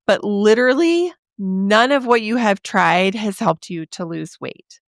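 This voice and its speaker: A woman in her forties hosting a podcast, talking straight to the listener in a chatty, encouraging way, her voice a little raspy from a sore throat. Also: mid-range voice, animated, a little slow, no room sound, studio quality. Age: 40s. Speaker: podcast host. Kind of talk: casual podcast monologue.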